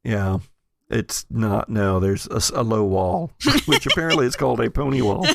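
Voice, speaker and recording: A man with a low, gravelly voice runs a tabletop roleplaying game as its narrator. He feels his way through descriptions haltingly and with humor, in a very dry, close sound. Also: no echo. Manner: halting, often jokey scene description. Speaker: game master and podcast host. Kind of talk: improvised scene narration for a tabletop roleplaying podcast.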